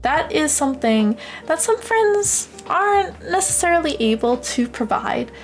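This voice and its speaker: A young woman from the United States chatting casually on a livestream in an expressive, affectionate, gushing way, as a streamer talking to viewers. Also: high voice, measured pace, gravelly, soft background music. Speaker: live streamer.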